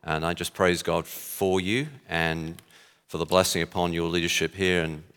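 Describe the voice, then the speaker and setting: Texan guy giving a talk, lowish bright voice, lots of uhs, dry jokes, echo from the big hall. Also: flat delivery, moderate pace, clean tone. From Texas. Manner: dry self-deprecating humor, frequent hesitations. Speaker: guest speaker. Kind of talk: speech to an audience. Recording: long hall reverb.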